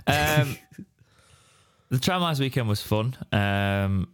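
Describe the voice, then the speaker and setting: An English man with a deep, raspy, hoarse voice from straining it, rambling in casual podcast chat. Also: animated, slow pace. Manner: rambling, restarts mid-sentence. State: hoarse after straining his voice. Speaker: podcast co-host.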